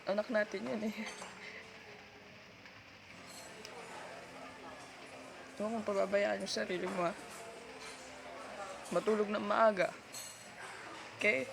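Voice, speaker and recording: A woman with a Filipino accent playing a heartbroken, self-sacrificing girlfriend in an ASMR roleplay, speaking in a halting, tender, pleading way. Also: medium pitch, fairly even intonation, close to an everyday voice. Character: heartbroken, self-sacrificing long-time girlfriend letting her partner go. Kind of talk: ASMR girlfriend roleplay, intimate one-sided dialogue. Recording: clearly noisy background.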